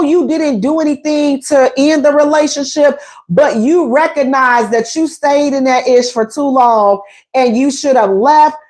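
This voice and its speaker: A woman's voice: high-pitched, darkish tone. Slangy, emphatic woman giving dating advice. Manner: conversational, emphatic, repeats points, slangy. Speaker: relationship advice host. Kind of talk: casual advice talk.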